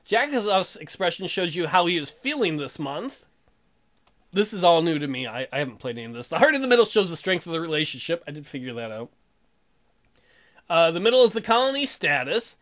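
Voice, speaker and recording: A man's voice: on the high side, big pitch swings, moderate pace, a bit bright. A man hosting a let's play, reading game text aloud and tossing in irreverent, jokey ad-libs.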